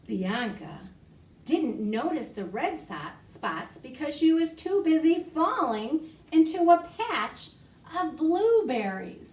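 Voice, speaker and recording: A woman librarian reading a picture story aloud to young children from her home, animated and full of gasps. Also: sits high, slow pace, recorded from a distance. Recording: echoey room, voice off-mic.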